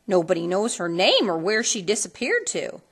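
A woman voicing a snooty young stepsister in a western storybook, taunting with drawn-out words. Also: medium pitch, very animated, slightly rough.

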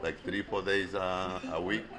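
A man's voice: low voice, level tone, unhurried, crowd noise. A man in his fifties from Ecuador with an Ecuadorian Spanish accent, a restaurant owner casually telling his story in an interview. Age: 50s. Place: restaurant dining room. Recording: loud music behind the voice.

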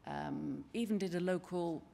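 An older woman giving a conference presentation at a lectern in a large wood-panelled hall, speaking in a measured, conversational way with frequent ums and restarts. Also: on the low side, vocal fry, dark tone, slight background noise. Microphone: lectern microphone.

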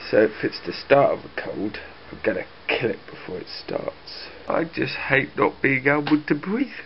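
A man's voice: mid-range voice, very animated, normal speed, creaky, slight background noise. A young man with a blocked nose from a cold, chatting casually into a handheld camera and laughing often. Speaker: vlogger. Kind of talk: casual daily vlog chat. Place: bedroom at home, later a busy cafe. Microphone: handheld camera at arm's length. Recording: slightly dull lo-fi camera audio, busy crowd.

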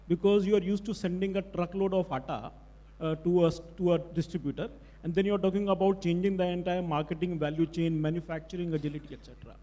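A man speaks on a conference panel as a company executive, measured and explanatory. His voice is high but mellow. The big hall adds a long reverb. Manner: measured, explanatory, mixes Hindi and English. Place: large conference hall stage. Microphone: handheld mic.

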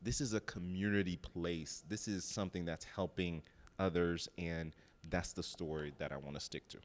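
Middle-aged man from Haiti, lowish voice, animated interview guest on a handheld mic. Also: normal speed. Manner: animated, talks with his hands, addresses listeners as y'all. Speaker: bakery owner, guest. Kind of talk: casual interview chat. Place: lounge studio with sofa. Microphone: handheld microphone.

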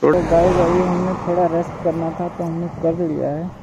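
Man, motorcycle vlogger, casual ride commentary, chatty, thinking aloud, cheap camera mic, loud wind and traffic noise. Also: high-pitched, level tone, brisk. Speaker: motovlogger, channel host. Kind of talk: casual running commentary while riding a motorcycle. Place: outdoors on a motorcycle on highways and city roads. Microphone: cheap camera setup mounted on the bike or helmet. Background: road traffic and engine noise.

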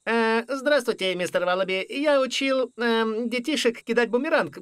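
A man's voice: extremely high pitch, moderate pace, a bit bright, smooth, no echo, studio quality. A man voicing a friendly, apologetic kangaroo father in a preschool cartoon dub, speaking a little hesitantly. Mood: sheepish.

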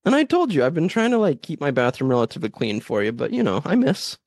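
A man's voice: fairly high pitch, wildly swooping pitch, quick pace, darkish tone, dry recording, studio quality. A man co-hosting a horror movie podcast with a stuffy, nasal sound from a cold, joking and teasing himself in casual chat. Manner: playful, self-mocking, laughs a lot. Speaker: podcast co-host.

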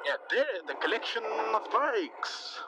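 A man with a Pakistani accent chatting casually and haltingly as he vlogs from a moving motorbike. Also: high-pitched, very animated. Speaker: motovlogger. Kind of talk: casual vlog chat while riding. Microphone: lavalier into action camera. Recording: muffled lavalier audio, loud traffic noise. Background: engine, wind and traffic noise.

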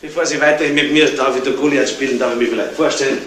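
Male actor playing cheeky detective, fast-talking, laconic, wry, probing, echoey old radio play. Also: medium pitch, fairly even intonation, distant mic, noisy background, close to an everyday voice. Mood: wry, dry. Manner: laconic, wry, quick questioning. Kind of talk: 1970s German radio crime drama acting.

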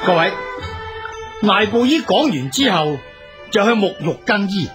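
A man's voice: sits high, animated, unhurried, loud music behind the voice. An actor playing an anxious old man and storyteller in a radio drama, exclaiming theatrically. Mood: suspenseful storytelling. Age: older. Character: anxious old male companion of a master, also storyteller.

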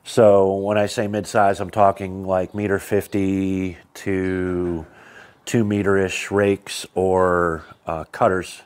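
A man's voice: sits low, flat delivery, takes it slowly, a little mellow. An American man in his forties, a homesteader vlogging casually to camera with a lapel mic around a farm, thinking aloud with lots of fillers and restarts. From United States. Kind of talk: casual vlog talk to camera. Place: farm shed, yard and barn, partly outdoors. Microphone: clip-on lapel mic.

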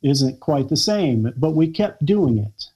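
A man in his forties from the United States, a guest talking earnestly on a video call with lots of pauses and restarts. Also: on the high side, very animated, warm tone, slightly rough. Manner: earnest, hesitant with many fillers and restarts. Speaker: guest, children's book author. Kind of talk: conversational interview. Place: small home room, indoors.

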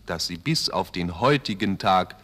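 A man narrating a children's fairy tale in an expressive scripted style, with deliberate, dramatic phrasing. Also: low voice.

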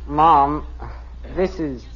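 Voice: mid-range voice, extremely slow. An actor playing an eager, awkward American teenage son in a radio sitcom. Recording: muffled, very noisy radio, audience behind.

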